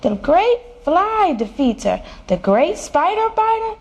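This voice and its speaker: A woman's voice: very high-pitched, sing-song, slow pace, pitched above the performer's own voice, full character voice. A woman voicing a naughty, boastful young kitten boy who plays at being a hero, in a bold singsong storybook style. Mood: grandly boastful. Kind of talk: children's storybook character voice.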